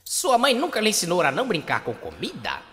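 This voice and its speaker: A prim, fussy cartoon hornbill, a royal steward with Brazilian speech. The voice is sharp and wildly swooping, pompous and theatrical, ringing in long reverb. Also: male voice, high voice, wildly swooping pitch, a little fast, exaggerated voice. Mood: prim scolding. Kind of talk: cartoon fan dub.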